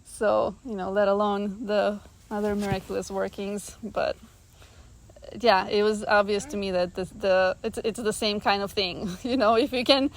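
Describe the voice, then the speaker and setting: A woman's voice: mid-range voice, fairly even intonation, normal speed. A woman from Romania speaking earnestly and reflectively as an interview guest, often with a laugh in her words. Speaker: guest, artist. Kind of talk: reflective personal conversation.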